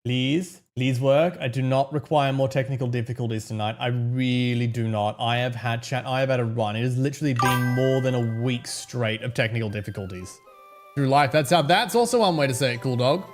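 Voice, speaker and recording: A man with an Australian accent and a slightly hoarse voice, chatting casually to viewers on a live game stream and greeting them by name. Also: medium pitch, brisk, over music. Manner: chatty, greets viewers by name, fretting over technical trouble.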